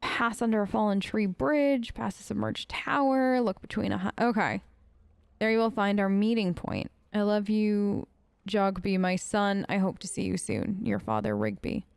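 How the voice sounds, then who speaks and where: A woman's voice: mid-range voice, normal speed, vocal fry, clear, resonant tone, no echo. A woman hosting a role-play let's play, chatty and playful, talking close to the microphone. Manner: playful, bantering. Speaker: gaming video host.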